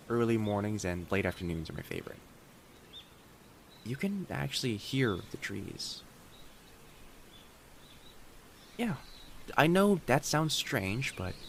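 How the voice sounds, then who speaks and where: A man playing a friendly, attentive young kitsune college student in an audio roleplay, speaking directly to the listener with soft teasing and hesitant pauses. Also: lowish voice, gravelly, slight background noise, heavily acted. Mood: wistful, wry. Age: young adult. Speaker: campus guide to a new student. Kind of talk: scripted boyfriend-style audio roleplay.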